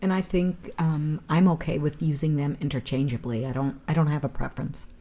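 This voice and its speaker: Mulher de voz grave, reflexiva. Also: female voice, low-pitched, steady tone, mellow. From Cleveland, Ohio, USA. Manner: reflective, measured, personal. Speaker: panelist. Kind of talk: casual panel discussion.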